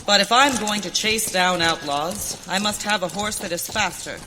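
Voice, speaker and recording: A woman's voice: medium pitch, normal speed, sharp tone, natural voice. A woman playing a brave, determined masked western hero in radio theater, speaking in an earnest, commanding way. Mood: earnest, resolute. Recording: hall reverb, background noise, clicks.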